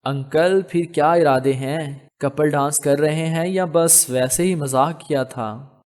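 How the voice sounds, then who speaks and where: A man's voice: fairly high pitch, slow, a little mellow. A man voicing a playful, mischievous young man who teases his elders in an audio novel. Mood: teasing. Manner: teasing, cheeky. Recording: long hall reverb on voice.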